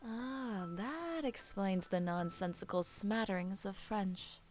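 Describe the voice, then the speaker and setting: A woman playing a blunt, sharp-tongued young student in an audio drama, dry and sardonic. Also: medium pitch, lively intonation, takes it slowly, faint music behind. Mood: cool, disdainful.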